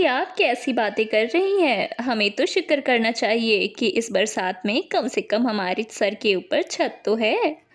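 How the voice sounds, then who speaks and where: A woman voicing a young, dutiful new daughter-in-law in a family story, gentle, earnest and reassuring. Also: on the high side.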